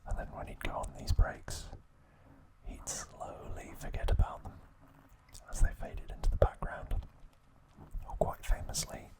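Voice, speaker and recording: A man hosting an ASMR video, softly sharing trivia in a chatty, informal, unhurried way. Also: poor audio. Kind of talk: whispered ASMR trivia narration.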